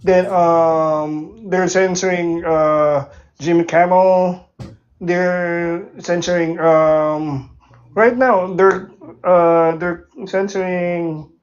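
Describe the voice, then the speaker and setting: A woman speaking very slowly and haltingly, stumbling through restarts and filler words. Her voice sits a little low. The audio is dull and lo-fi, like a muffled voice chat. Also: darkish tone. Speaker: caller in an online voice chat debate. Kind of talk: political argument.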